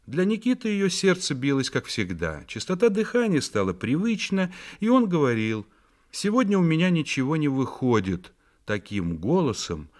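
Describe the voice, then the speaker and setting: A man narrating a literary radio play as the author's voice, measured and reflective, with deliberate pauses. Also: mid-range voice, natural voice. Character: authorial narrator of a family novel, reflective and solemn. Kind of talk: scripted literary narration, dramatic radio reading.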